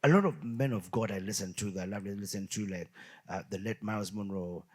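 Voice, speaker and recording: Man, tv talk show host, pastor, low husky voice, measured, repeats words. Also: a little mellow. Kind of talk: studio talk show hosting.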